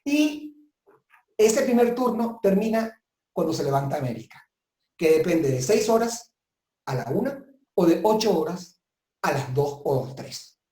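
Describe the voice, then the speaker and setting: A man's voice: high-pitched, very animated, measured pace, dark tone. A man speaking as a guest consultant in a webinar interview, giving advice in an emphatic, reflective way. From southern Italy. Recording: roomy, echoing sound.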